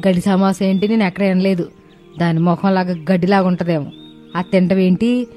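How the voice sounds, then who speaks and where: A woman's voice: fairly low pitch, fairly even intonation, fairly quick, mellow, soft background music, lightly acted. A woman playing a jealous, irritated middle-aged mother-in-law who grumbles with sarcasm in a story cartoon. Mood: irritated, scornful. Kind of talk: dramatized moral story cartoon.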